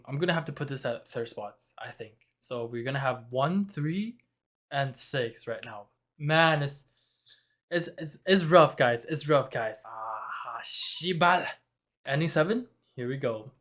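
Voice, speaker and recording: A man making an anime reaction video, excitable and talking to his viewers, hoarse from screaming. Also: medium pitch, unhurried.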